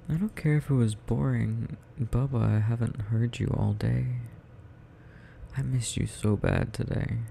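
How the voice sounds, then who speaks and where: A man's voice: mid-range voice, fairly even intonation, takes it slowly, creaky, pauses often, warm tone, slight background noise. A young man playing a sleepy, needy, affectionate boyfriend in an intimate ASMR roleplay, murmuring drowsily and tenderly close to the microphone. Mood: needy, drowsy.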